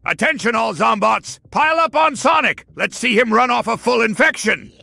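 A man's voice: very high-pitched, animated, normal speed, creaky, bright, forceful tone, a little hiss or hum, heavily acted. A man playing a pompous, gloating mad-scientist villain in a theatrical comic dub. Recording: music behind the voice.

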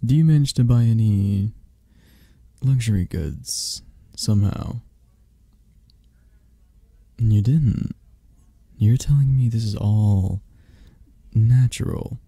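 A man playing a possessive, flirtatious, intimidating mafia boss in an ASMR roleplay, husky and murmuring, with drawn-out teasing pauses. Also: fairly low pitch, dark tone, no echo, exaggerated voice. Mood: intrigued, flirtatious. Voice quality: husky, gravelly, at times breathy.

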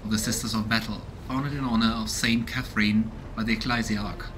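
A man's voice: on the low side, level tone, brisk, noisy background. A man in his thirties giving casual, curious game commentary and reading game text aloud, musing as he goes. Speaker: let's play streamer. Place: indoors, small room at home.

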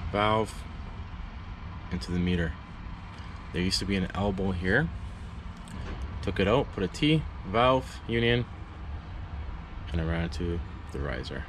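Deep-voiced tradesman, casual walkthrough. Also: lively intonation, slow pace, long pauses, background noise. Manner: plain-spoken, informal, pointing things out step by step. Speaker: HVAC installer showing his work. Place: basement utility room.

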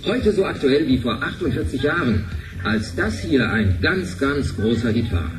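A man acting a theatrical oldies radio host in a sci-fi play. He is gushing and lively, sounding a little distant with prominent music behind him. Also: medium pitch, normal speed, natural voice. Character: smooth-talking, theatrical male oldies radio presenter. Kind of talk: radio presenting in sci-fi drama.

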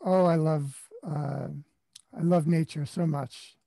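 A man's voice: on the high side, fairly even intonation, takes it slowly, vocal fry, no room sound. A middle-aged man at home on a webcam, enthusiastically sharing photos of places he loves. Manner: enthusiastic, warm about nature. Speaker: workshop participant. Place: small room at home. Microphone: webcam close up.

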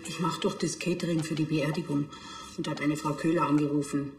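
A woman's voice: deep voice, steady tone, brisk, echoey room, over music. An actress playing a chatty, down-to-earth small-town woman in a TV crime comedy.

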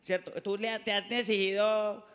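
High bright teasing man. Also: little pitch movement, normal speed, long reverb tail. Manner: teasing, playful, jumpy delivery. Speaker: talk show host. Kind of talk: comic talk show banter. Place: TV studio set.

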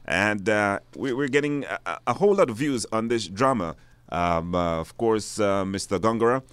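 A man hosting a live radio phone-in, speaking haltingly and repeating words. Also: on the low side, a bit bright, clean tone, no room sound. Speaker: radio talk show host. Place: radio studio.

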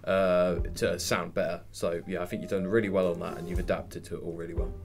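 A man's voice: low-pitched, little pitch movement, brisk, over music. A young man in a casual group chat as a cast member, tentative and agreeable in manner. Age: young adult.

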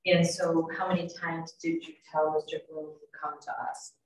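An older woman with a notably low voice examines a witness in short, orderly questions. She sounds distant from the microphone in an echoey room. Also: little pitch movement, normal speed, a little hiss or hum. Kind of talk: formal direct examination. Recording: echoey, distant video call audio.

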